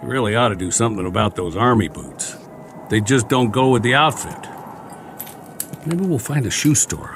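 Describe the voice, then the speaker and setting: An actor playing a chatty, wry American soldier in a radio drama, talking in a folksy, rambling, joking way. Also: male voice, on the low side, background noise. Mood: wry, teasing. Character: chatty, wry, friendly American soldier, lonely war survivor.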